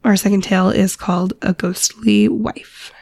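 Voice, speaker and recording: A woman co-host talks casually about stories. Her voice has a good deal of vocal fry, and the sound is dry and close. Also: mid-range voice, little pitch movement, normal speed, a little mellow, no room sound. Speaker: podcast co-host. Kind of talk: casual chat about folk tales.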